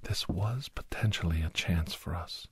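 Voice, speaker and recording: A man playing a grave, anxious doctor and old soldier telling a battle story in hushed, halting, breathy ASMR narration that often drops into whispers. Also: sits low. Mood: tense, urgent. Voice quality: breathy, husky. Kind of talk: ASMR first-person dramatic story narration.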